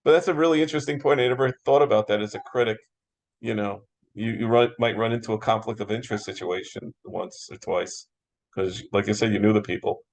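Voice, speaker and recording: A man's voice: fairly low pitch, brisk, creaky, poor audio. A man moderating a casual interview in an affable, appreciative manner.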